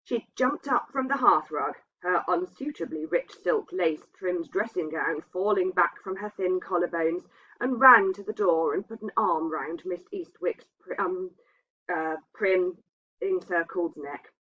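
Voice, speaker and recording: A woman's voice: fairly low pitch, a little slow, sharp tone, husky. A woman reading a literary ghost story aloud as a narrator. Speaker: reader. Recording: dull, muffled lo-fi sound.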